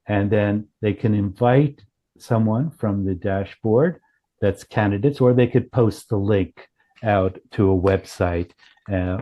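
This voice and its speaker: A man explaining a product demo as a company founder, in a halting, self-correcting way with many broken starts. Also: fairly low pitch, dull audio. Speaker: company founder and chief executive.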